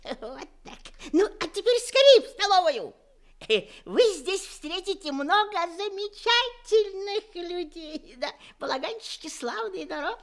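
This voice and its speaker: A bubbly carnival hostess whose very high voice swoops up and down with enthusiasm. She gushes over her guests in this old studio radio drama. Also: lively intonation, unhurried, heavily acted. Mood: gushing. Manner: gushing, enthusiastic. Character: bubbly, welcoming carnival woman. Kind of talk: 1970s Soviet radio play acting.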